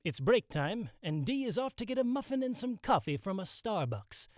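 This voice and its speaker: Man acting male mystery narrator, radio play, high-pitched, sweeping intonation, portentous, theatrical, vintage anthology style. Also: big pitch swings, moderate pace, no room sound, studio quality. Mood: ominous, grand. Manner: portentous, dramatic.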